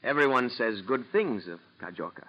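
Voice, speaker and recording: An actor playing an earnest, polite young Japanese-American student with an American accent, in 1940s radio drama style. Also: male voice, medium pitch, animated, normal speed, natural voice. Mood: guarded, earnest. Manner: respectful, earnest, questioning. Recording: dull 1940s radio recording.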